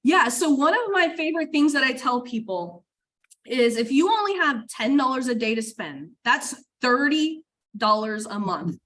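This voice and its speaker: A woman business coach hosting an interview, talking enthusiastically in fast bursts with lots of fillers. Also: high voice, very animated, fairly bright tone. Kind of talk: interview chat.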